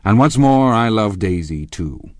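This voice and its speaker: A man voicing an angry, scornful husband in a storybook, forceful, accusing and emphatic. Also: fairly low pitch, lively intonation, unhurried, no room sound, voice pushed up. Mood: indignant, blustering.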